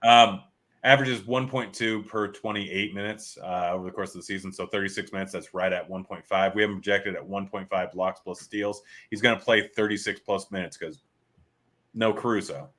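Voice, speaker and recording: A man with a very low, bright-edged voice hosts a live betting stream. He rattles through player stats and odds, starting lines over as he checks his numbers, in easy back-and-forth chat. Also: fairly even intonation, fairly quick. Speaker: sports betting show host.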